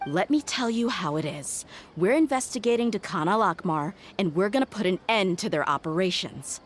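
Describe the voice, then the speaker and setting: A woman's voice: medium pitch, expressive, normal speed, soft background music, lightly acted. An actress voicing a stern, impatient woman mercenary who talks bluntly and gives orders, in a game cutscene. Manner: blunt, commanding, threatening.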